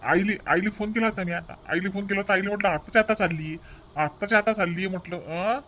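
A man's voice: high voice, fast, pushed and bright, slight background noise. A man with a Varhadi Marathi accent playing a helpless, ashamed grown son in a cartoon, lamenting plaintively. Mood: dejected, weary. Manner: plaintive, repetitive. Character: helpless, ashamed adult son lamenting his family's quarrels. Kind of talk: cartoon family drama voice acting.

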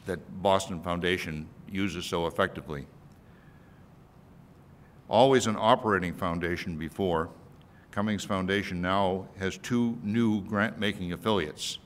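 Older male keynote speaker, low-pitched, scripted address on a podium mic, light background rumble. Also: a bit bright. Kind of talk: prepared speech read from notes. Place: event hall at a podium. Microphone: podium microphone. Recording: faint rumbly noise, podium microphone.

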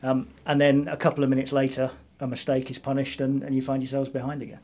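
A man's voice: mid-range voice, little pitch movement, fast talker, a little mellow, a little hiss or hum. A man interviewing a football manager after a match, posing conversational, leading questions. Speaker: club interviewer.

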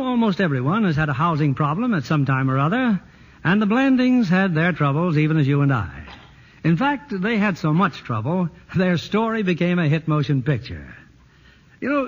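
A man's voice: fairly high pitch, husky. A man hosting and narrating a vintage 1940s radio drama broadcast in a scripted style. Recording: dull old radio recording with music.

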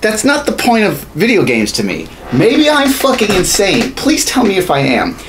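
An animated man with a fairly high voice, swearing through a sarcastic, exasperated rant as a podcast co-host. His delivery swings widely, and there is noticeable background noise in the room. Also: moderate pace. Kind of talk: ranting casual chat. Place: small home studio room. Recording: noisy room, steady background ambience.

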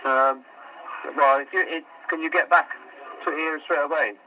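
A man's voice: on the high side, steady tone, normal speed, highs cut off, slight background noise. A man working store customer service, answering a call patiently but growing exasperated, stumbling and repeating himself. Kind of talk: phone call.

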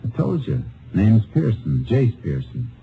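An American actor playing a calm, needling, middle-aged Texas Ranger in laconic old-time radio western style. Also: male voice, lowish voice, measured pace, creaky, slight background noise, exaggerated voice, rough recording. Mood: laconic, casual. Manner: laconic, deadpan. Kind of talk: 1940s-50s radio western acting.

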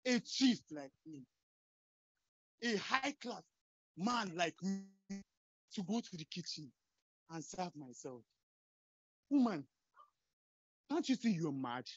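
A woman's voice: lowish voice, sing-song, takes it slowly, long pauses, slightly rough, highs cut off, heavily acted. A woman voicing an arrogant, abusive chief who scolds and threatens his wife. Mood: scornful.

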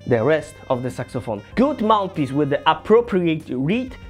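A man in his thirties from Poland with a Polish accent, a saxophone teacher giving a casual, earnest gear review in halting non-native English, in a small home studio on a camera mic a few feet away. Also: on the high side, big pitch swings, faint music behind.

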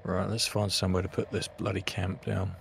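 A man chatting while playing a co-op game, quick to grumble and swear. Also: sits low, level tone, gravelly, fairly bright tone, a little hiss or hum. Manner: quick to complain, swearing, laughing. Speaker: co-op player. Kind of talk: casual gaming chat.

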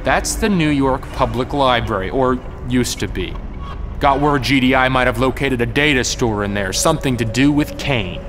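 An American actor playing a smug, fanatical militant leader in a game motion comic, taunting and calmly menacing. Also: male voice, medium pitch, normal speed, music in the background, lightly acted. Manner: smooth-talking, taunting.

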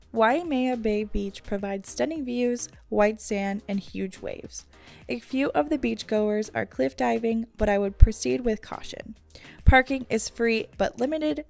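A woman's voice: a little high, creaky, darkish tone. A woman reading a scripted travel guide narration for a vlog.

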